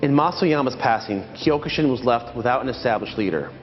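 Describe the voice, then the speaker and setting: A man narrates a martial arts history in a measured, explanatory way, his voice at an ordinary male pitch. The sound is dull and carries a long reverb tail, with faint music underneath. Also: expressive. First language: not Japanese. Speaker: martial arts channel host. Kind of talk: scripted documentary narration.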